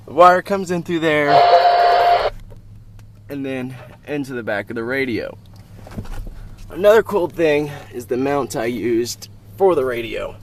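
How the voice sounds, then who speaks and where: A man talks through a hands-on how-to in an informal, plainspoken way, his voice at an ordinary middle pitch. It sounds like a handheld camera recording at a truck, with some noise behind him. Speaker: DIY video host. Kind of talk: casual how-to walkthrough. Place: in and around a pickup truck. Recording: clear background noise, vehicle ambience.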